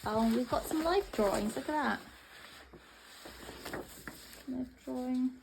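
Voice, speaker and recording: A woman's voice: sits high, takes it slowly, vocal fry, background noise. A young woman from Latvia chatting casually and hesitantly as she flips through her old sketchbooks. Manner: rambling, hesitant, offhand. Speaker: art vlogger. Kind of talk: casual narration while flipping through sketchbooks.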